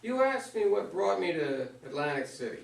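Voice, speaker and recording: An actor in a staged play reading, playing an avuncular, plainspoken retired baseball legend who gives folksy advice. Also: male voice, fairly high pitch, sing-song, normal speed, gravelly, exaggerated voice. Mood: reflective. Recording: roomy stage, far from microphone.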